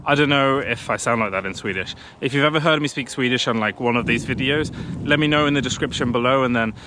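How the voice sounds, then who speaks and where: A man's voice: mid-range voice, quick pace, bright, forceful tone, a little hiss or hum, rough recording. A man in his thirties talking casually to a camera clipped on a pushchair as he walks along a country path, chatty and self-deprecating. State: out of breath pushing uphill. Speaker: vlogger. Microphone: camera on a pushchair, walking.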